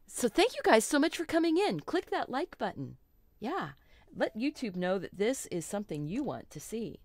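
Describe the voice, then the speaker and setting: Middle-aged woman, art host, low-ish and slightly froggy, chatty and reassuring, close dry sound. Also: no room sound. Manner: chatty and reassuring, with rambling asides and self-corrections. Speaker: art teacher hosting a live stream. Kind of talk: relaxed live painting tutorial.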